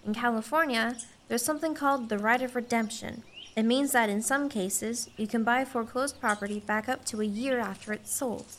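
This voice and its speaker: Woman acting as helpful young friend, polite, high-pitched, crisp bright tone, family radio drama. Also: brisk, a little hiss or hum, natural voice. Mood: eager. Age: young adult. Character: kind, eager, pretty young woman, rancher's helpful friend.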